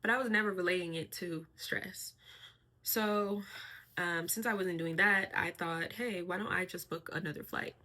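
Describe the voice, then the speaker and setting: A young woman sharing her life in a casual vlog, speaking softly and reflectively with a fairly even, monotone delivery. Her voice sits a little low in pitch but has a bright, clear edge. She pauses on fillers now and then as she thinks. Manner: soft-spoken, reflective, with frequent fillers and light laughs. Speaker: vlogger.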